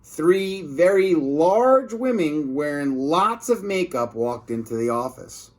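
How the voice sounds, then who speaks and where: A man reading a storybook aloud to children in an expressive, teacherly way, with asides to his young listeners. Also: fairly high pitch. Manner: slow, deliberate, teacherly.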